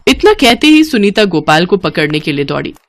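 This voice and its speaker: A woman's voice: lowish voice, sing-song, brisk. A woman narrating a scripted children's moral story in a storyteller's style.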